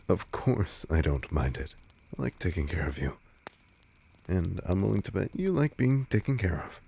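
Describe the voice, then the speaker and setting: A man playing a tender, teasing but insecure vampire lover in an intimate roleplay audio drama, murmuring breathily and haltingly, often slipping into whispers. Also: low-pitched, lively intonation, vocal fry, warm tone, a little hiss or hum, full character voice. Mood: tender, playful.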